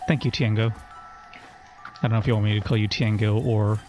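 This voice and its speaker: A man's voice: fairly low pitch, fairly even intonation, normal speed, over music. A man streamer chatting casually and joking, reading out chat messages. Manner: joking, playful.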